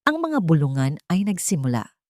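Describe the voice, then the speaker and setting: A woman's voice: low-pitched, big pitch swings, moderate pace, a little mellow, no echo, clean studio sound. A woman with a Filipino accent narrating a scripted dramatic story.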